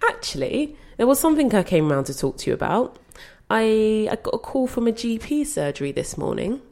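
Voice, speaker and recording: A woman's voice: a little high, sing-song, a little fast, darkish tone. A woman acting a concerned, exasperated young grandchild in an audio play, reasoning patiently but firmly. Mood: hesitant, careful. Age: young adult.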